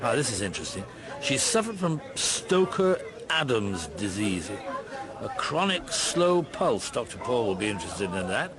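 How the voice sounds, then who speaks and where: A man's voice: mid-range voice, sing-song, moderate pace, crowd noise, natural voice. A man acting in a radio play as a middle-aged, curious police inspector on holiday, with a hesitant, musing manner. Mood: absorbed, curious. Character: retired-seeming police inspector on holiday, mulling, curious, husband.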